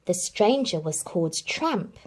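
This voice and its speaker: A woman reading a children's picture book aloud, telling the story expressively with dramatic pauses. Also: lowish voice, sing-song, darkish tone, breathy edge. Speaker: narrator.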